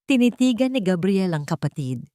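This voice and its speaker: A woman's voice: mid-range voice, big pitch swings, normal speed, mellow, dry recording, clean studio sound. A woman narrating a scripted romance story in a dramatic storytelling style.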